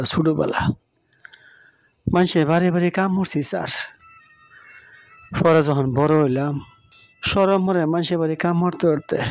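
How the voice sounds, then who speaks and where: Guy playing poor humble village worker in a cartoon, plain earnest talk, bangladeshi country accent, slightly high voice. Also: darkish tone, no echo. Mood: humble, pleading. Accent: rural Bangladeshi regional Bengali. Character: poor, humble, hardworking village husband and labourer. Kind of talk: moral-story cartoon dialogue.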